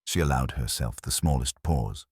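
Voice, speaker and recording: A man reading a scripted mystery story in a dry, arch, measured manner. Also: a bass voice, a little mellow, breathy edge, no room sound. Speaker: narrator.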